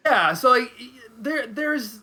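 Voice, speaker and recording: High, bright-voiced man chatting haltingly. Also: animated. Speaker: guest commentator. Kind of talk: casual chat.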